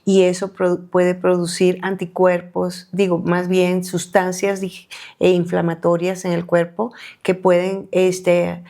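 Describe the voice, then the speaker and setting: A middle-aged woman from Colombia with a low, gravelly voice, speaking as a guest doctor on a podcast. She talks haltingly, circling back with fillers and restarts. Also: monotone, mellow. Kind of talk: podcast interview.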